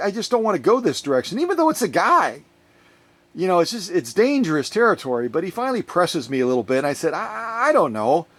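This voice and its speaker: A man chatting casually in a vlog, telling a rambling story in an animated way as he gets ready to unbox a sewing machine in his workshop. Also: on the high side. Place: workshop, recording his own video.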